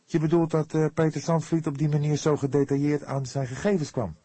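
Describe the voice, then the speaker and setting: Man acting a young male detective, high strained pitch, loud and excitable, hot-headed, audiobook crime story. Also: brisk, slightly rough, no echo. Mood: eager, businesslike. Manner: loud, excitable, heated delivery.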